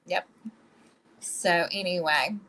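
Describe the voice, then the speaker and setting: A woman's voice: on the low side, takes it slowly, bright, forceful tone, poor audio. A woman chatting casually as a livestream guest, familiar in manner and free with pet names.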